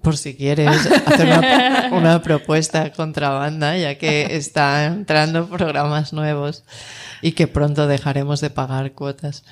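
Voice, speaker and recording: A woman's voice: low voice, measured pace. Chatty radio host woman. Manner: chatty, laughs often, restarts phrases. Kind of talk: casual radio chat.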